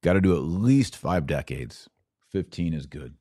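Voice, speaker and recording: Male host, very low register, dry close-mic sound, punchy live-chat commentary. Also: expressive, unhurried, warm tone, no room sound. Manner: emphatic, punchy lists of short phrases. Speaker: podcast host.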